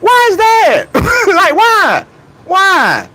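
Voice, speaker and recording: A man's voice: very high-pitched, huge pitch swings, drawn-out words, sharp tone. A young man rants in a casual, comedic way about track and field. He laughs between points and keeps asking rhetorical questions.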